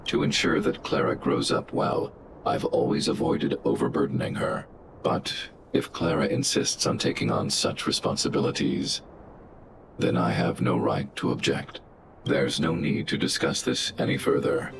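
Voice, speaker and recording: An actor voicing a huge, protective robot guardian in a video game, speaking in a calm, formal, emotionless and logical way. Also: male voice, a bass voice, vocal fry, background noise. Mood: solemn, measured. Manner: measured, formal, emotionless machine-like delivery. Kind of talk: scripted video game dialogue. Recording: game music behind.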